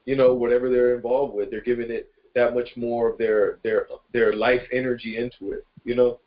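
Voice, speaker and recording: A man's voice: mid-range voice, little pitch movement, a little fast. A man talking casually on a conference call in an informal, affirming, rambling way about things in a motivational spirit. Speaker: participant in a self-improvement conference call. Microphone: conference call line. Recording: dull, lo-fi conference call audio.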